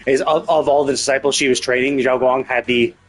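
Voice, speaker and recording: A man's voice: mid-range voice, fairly even intonation, a little fast. A man livestreaming casual commentary on a game's story, thinking aloud in a rambling, opinionated way. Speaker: live streamer.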